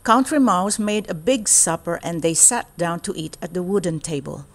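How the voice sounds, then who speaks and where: A woman storyteller reading a children's storybook aloud in a deliberate, expressive way. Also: lowish voice.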